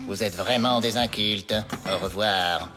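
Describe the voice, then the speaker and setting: A male actor playing a snobbish, disdainful schoolboy in an animated comedy, haughty and deadpan. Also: lowish voice, a little slow, soft background music. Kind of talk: animated children's comedy dialogue.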